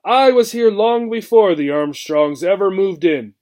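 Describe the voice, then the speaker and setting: A man voicing a gruff, bitter old mouse in a storybook, scornful and bent on revenge. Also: sits high, animated, measured pace, a little mellow, pitched above the performer's own voice. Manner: scornful, emphatic.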